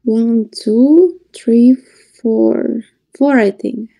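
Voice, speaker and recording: Eine Frau in ihren Zwanzigern, die gesprächig über einen Laptop-Videoanruf erzählt und über ihre eigenen Verwechslungen lacht. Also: female voice, a little high, extremely slow, gravelly, mellow, rough recording. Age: 20s. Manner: chatty, laughs at her own mix-ups. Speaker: video chat stranger. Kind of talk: casual chat. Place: room indoors.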